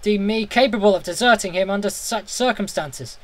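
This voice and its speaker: A man's voice: high-pitched, brisk, poor audio. A man narrating a horror story in the first person, intense and theatrical, now and then stumbling over a word. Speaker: audiobook reader.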